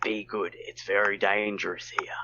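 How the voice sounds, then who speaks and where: Man playing an earnest hero, bright dull-miked voice. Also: on the low side, level tone, normal speed. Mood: earnest reassuring. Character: earnest male visual novel hero reassuring a girl. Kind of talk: amateur visual novel read-aloud.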